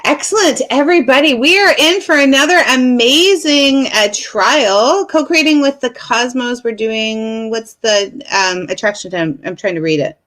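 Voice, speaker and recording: A woman's voice: fairly high pitch, normal speed, hardly any pauses, a bit bright. A woman from Canada hosting a casual online chat, upbeat and bubbly with lots of ums. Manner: upbeat, bubbly, hesitant fillers. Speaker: host.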